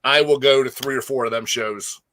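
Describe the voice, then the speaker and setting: A man chatting casually about music as a guest co-host, enthusiastic and slangy, often halting as he gathers his thoughts. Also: mid-range voice, fairly even intonation, bright, forceful tone, slight background noise. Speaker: guest co-host, metal fan.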